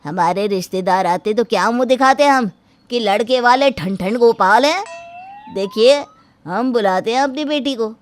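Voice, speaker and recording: A woman's voice: on the high side, normal speed, close to an everyday voice. A woman playing a proud, stubborn father of a bride in a dramatized moral story, speaking assertively. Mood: indignant, boastful.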